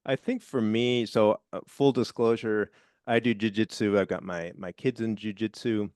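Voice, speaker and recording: A man's voice: lowish voice, steady tone, normal speed, darkish tone, no echo. A middle-aged man hosting a podcast interview in a friendly way, with the odd "uh" and "um". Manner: friendly, with fillers like "uh" and "um". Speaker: podcast host. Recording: dry close mic, faint music.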